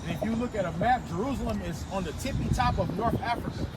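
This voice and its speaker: A man's voice: high voice, fast. A man teaching on a street corner, reading passages from a book and scripture and explaining them. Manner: explanatory, reads passages then comments. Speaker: street teacher of a religious group. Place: outdoors by a busy street. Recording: loud street traffic noise.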